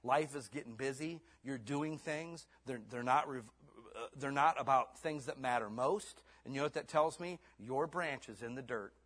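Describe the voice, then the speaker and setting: A man in his fifties preaching a sermon in church. He swings between hushed, intimate lines and fervent, rising exhortation, then closes in prayer. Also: medium pitch, moderate pace, hardly any pauses. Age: 50s. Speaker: pastor.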